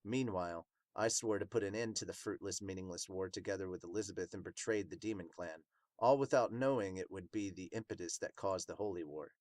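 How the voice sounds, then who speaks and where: A remorseful demon captain, acted by a man, tells of a tragic past for a manga dub. The voice sits fairly low and moves in a measured, brooding way. The recording is dry. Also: darkish tone, no echo, natural voice. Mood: somber, regretful.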